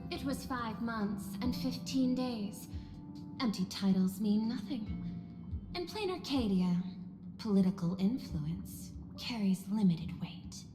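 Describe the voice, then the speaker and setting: A woman playing a coolly logical female machine steward in a video game, speaking formally and precisely with measured detachment. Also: on the high side, expressive. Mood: clinical, detached. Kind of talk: scripted video game voice acting. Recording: echoey hall reverb, music behind.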